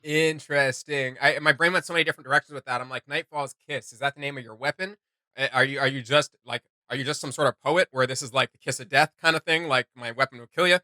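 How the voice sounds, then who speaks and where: Bright-voiced man reacting casually, close and dry. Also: medium pitch, quick pace, dry recording. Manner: animated, joking, rambling asides. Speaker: reaction show host.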